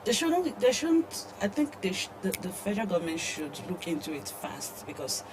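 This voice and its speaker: A young woman with a Nigerian accent co-hosting a newspaper review discussion, speaking in a hesitant, halting way. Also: mid-range voice, very animated. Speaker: news show co-host. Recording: clear background noise and ambience.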